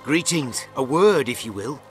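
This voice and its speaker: A man's voice: medium pitch, lively intonation, unhurried, soft background music, lightly acted. An actor playing a polite, guarded male alchemist in a video game.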